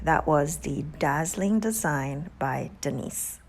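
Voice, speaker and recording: A woman with a Haitian accent hosting a casual vlog, giving gushing shout-outs with drawn-out emphasis and soft whispery asides. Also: low voice, lively intonation, slight background noise. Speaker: beauty channel host.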